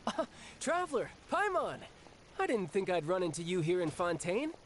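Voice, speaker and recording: A smug, lively young agent. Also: male voice, sits high, normal speed, a little hiss or hum. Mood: friendly. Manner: smug, teasing, easygoing. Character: cocky young male bank agent, playful fighter. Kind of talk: video game voice acting. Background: game music.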